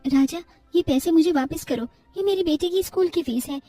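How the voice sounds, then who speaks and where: A woman's voice: very high-pitched, normal speed, mellow, dry recording, recorded from a distance, soft background music. A woman voicing a meek, suffering young wife and devoted mother in a cartoon story, pleading and earnest. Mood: protective, pleading.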